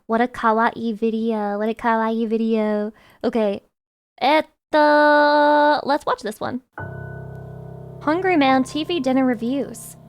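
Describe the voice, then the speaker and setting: A woman's voice: fairly high pitch, steady tone, takes it slowly, clear, resonant tone, soft background music. A woman streamer giving jokey, sweary, exclamatory live reaction commentary.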